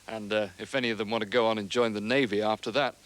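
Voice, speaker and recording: A man's voice: fairly low pitch, fast talker, lightly acted. A man playing an easygoing sailing enthusiast. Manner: relaxed, joking, hesitant fillers. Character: cheerful male sailing-school founder, easygoing. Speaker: studio guest.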